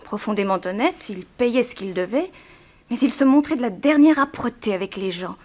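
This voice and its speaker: A woman's voice: on the high side, animated, normal speed, darkish tone. An actress playing a sorrowful young woman in a 1960s French radio drama, restrained and plaintive. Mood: bitter, sorrowful. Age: young adult. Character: sorrowful young daughter of a harsh plantation owner.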